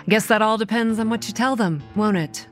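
An actress playing a young campground manager who narrates a horror audio drama in a wry, dry, understated way. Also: female voice, a little high, normal speed, soft background music, close to an everyday voice. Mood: guarded, wry. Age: young adult.

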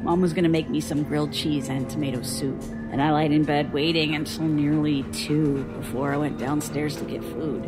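An actress playing a bitter, guarded young woman, a traumatized survivor, in an audio drama, husky and sardonic. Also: low voice, fairly even intonation, moderate pace, hardly any pauses, loud music behind the voice, natural voice. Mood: haunted, subdued. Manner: sardonic, defensive.

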